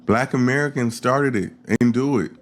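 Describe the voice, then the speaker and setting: A man's voice: mid-range voice, moderate pace. A man speaking emphatically and proudly in casual commentary, repeating his points.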